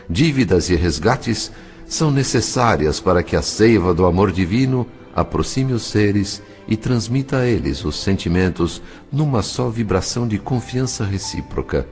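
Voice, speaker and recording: A man narrating a novel in a measured, expressive, literary way, as for an audiobook. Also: sits low. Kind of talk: scripted dramatic narration of a spiritist novel.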